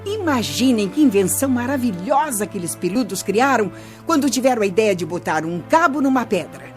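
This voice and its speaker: Expressive, wise old storytelling grandmother played by an actress. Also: a little high, brisk, close to an everyday voice. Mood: patient, instructive. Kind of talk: children's radio play acting. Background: music.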